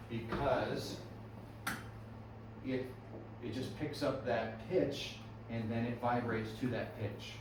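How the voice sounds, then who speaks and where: A male music teacher leads a classroom workshop, asking his students questions and checking in every few sentences. His voice sits at an ordinary middle pitch and is picked up from a distance in a very echoey room, with steady background noise. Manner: friendly questioning of students, frequent "right?" and "okay".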